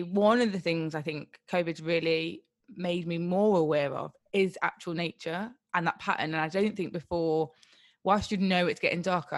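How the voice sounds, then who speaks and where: A woman's voice: on the low side, no echo, studio quality. A young British woman co-hosting a podcast in casual chat, telling stories animatedly with a lot of "like". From Britain. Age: young adult. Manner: animated storytelling, lots of "like". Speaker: podcast co-host.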